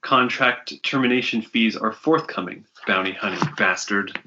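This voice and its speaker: A man's voice: lowish voice, moderate pace, background noise, voice pushed down, lightly acted. A man voicing a scheming informant and crooked dealer in a comic readalong, declamatory and menacing, in slightly dull audio.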